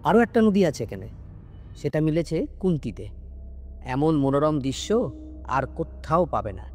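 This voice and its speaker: Higher-voiced man narrating over music. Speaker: storyteller, audio story narrator. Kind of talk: dramatic scripted narration of a Bengali ghost story. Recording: music bed under the narration. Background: background music.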